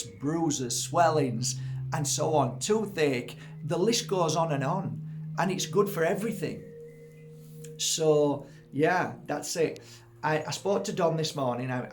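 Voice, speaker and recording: Rambling, excited man over faint music. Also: fairly high pitch. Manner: rambling, enthusiastic, many fillers and restarts. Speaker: vlogger. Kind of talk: casual testimonial monologue.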